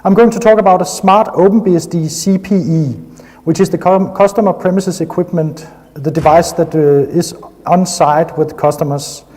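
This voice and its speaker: A man's voice: high voice, normal speed, darkish tone. A man from Denmark with a Danish accent, giving an enthusiastic technical conference talk with frequent hesitations. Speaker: conference speaker. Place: conference hall. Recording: long hall reverb.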